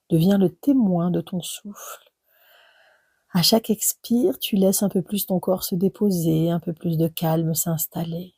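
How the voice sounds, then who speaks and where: Woman, guided meditation, slow, mellow, soft near-whisper, soothing, short phrases with gentle pauses. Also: lowish voice, husky, no room sound. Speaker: yoga nidra relaxation guide.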